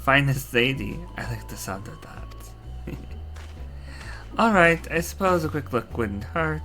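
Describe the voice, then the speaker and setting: A man voicing an earnest young princess heir who stammers and gets easily flattered, in a fan dub of a video game. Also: mid-range voice, slow pace, faint music behind. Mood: flustered.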